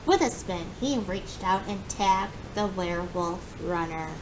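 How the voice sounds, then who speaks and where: A woman reading a children's story aloud in an animated, expressive way, acting out the dialogue. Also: a little slow. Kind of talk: animated children's book narration. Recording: clear background noise with low rumble.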